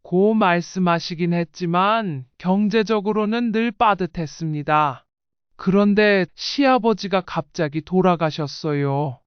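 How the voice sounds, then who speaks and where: Woman narrating a life story audiobook, clear smooth voice, close dry slightly dull recording. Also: fairly low pitch, no room sound.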